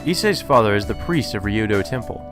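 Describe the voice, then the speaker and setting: A man's voice: on the low side, expressive, brisk. A man reading a visual novel aloud as a let's player, narrating the text and sometimes correcting himself as he goes. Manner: stumbles over lines and corrects himself. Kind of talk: read-aloud narration and dialogue. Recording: background music under the voice. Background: game music.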